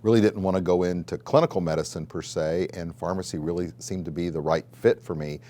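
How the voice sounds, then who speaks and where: A middle-aged man with an American accent, telling his story in a measured, conversational way as he answers interview questions. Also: low voice, fairly even intonation, dark tone. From Southern Illinois, United States. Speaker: college dean and pharmacist.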